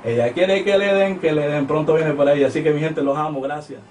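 A man's voice: on the high side, brisk, darkish tone, slight background noise. A man from Honduras, a music artist talking casually in a radio interview, hesitant with many fillers and restarts. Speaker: reggaeton artist guest. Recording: distant mic with music playing behind.

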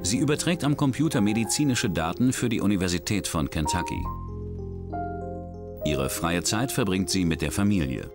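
A deep-voiced man narrates a crime documentary in a measured, dramatic way. His low voice rises and falls widely. Music plays clearly behind him. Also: lively intonation. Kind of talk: scripted true-crime narration. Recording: studio voice-over with music behind.

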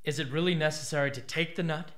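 A man's voice: on the high side, quick pace, fairly bright tone, close to an everyday voice. An actor playing an embittered, intense young male rebel in a radio drama. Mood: persuasive.

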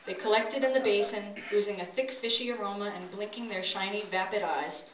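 An echoey, distant recording of a woman reading a comic story aloud on stage. She narrates in a storybook manner at a medium pitch. Faint noise sits behind her in the open pavilion. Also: fairly even intonation, brisk. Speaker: author reading own work aloud. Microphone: distant audience camera. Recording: echoey pavilion, distant noisy camera audio.

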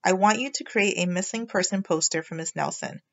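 A woman teacher reading a storybook aloud to children in an expressive, instructive way, on a slightly dull recording. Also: on the low side, brisk, creaky. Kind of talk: storybook read-aloud narration.

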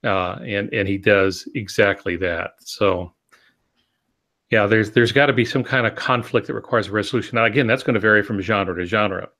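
Older man, lowish instructive voice. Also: moderate pace, sharp tone. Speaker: podcast co-host, writing mentor. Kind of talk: explanatory discussion. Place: home office, on a video call.

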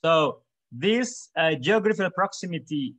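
A middle-aged man giving an academic webinar talk over a video call, measured, with many "for example"s and pauses. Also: a little high, expressive, a bit bright, no echo. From Indonesia. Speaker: webinar speaker.

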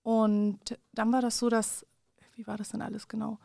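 Woman, 30s, from Afghanistan; interview guest, reflective, slight vocal fry, dry close studio mic. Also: fairly high pitch, little pitch movement, warm tone, no room sound. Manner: thoughtful, measured, with many fillers and restarts. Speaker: guest journalist. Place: small dark studio with curtains. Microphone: large studio mic on a stand.

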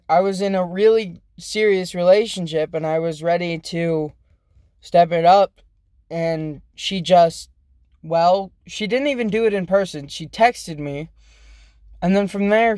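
A young man rambling in a casual vlog from inside a car, halting and self-deprecating, with many restarts. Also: sits high, darkish tone, no room sound, studio quality. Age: young adult. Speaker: vlogger. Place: inside a parked car, daytime. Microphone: phone camera held close.

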